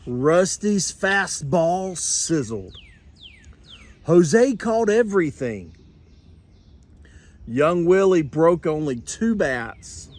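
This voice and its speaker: An older man reading a children's storybook aloud with expressive, deliberate phrasing. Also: fairly high pitch. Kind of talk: animated children's storybook narration.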